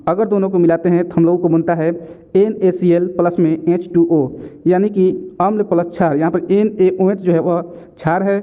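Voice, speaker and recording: A man teaching exam questions and answers in a didactic way, repeating key points as reminders. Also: high-pitched. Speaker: exam-prep chemistry teacher. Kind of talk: question-and-answer lesson narration. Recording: narrow, tinny phone-like sound.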